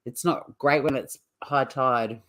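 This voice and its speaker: A woman's voice: a bass voice, slow pace, fairly bright tone. A woman hosting a casual livestream, chatting to viewers in an informal, teasing way. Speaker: livestream host.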